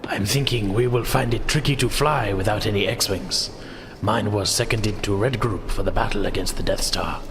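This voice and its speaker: A man's voice: fairly low pitch, normal speed, few pauses, natural voice. A man voicing a polite alien pilot with courteous, slightly formal phrasing in a tabletop game. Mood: polite, curious. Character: polite alien starfighter pilot, friendly wingmate. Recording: clear ambient noise behind.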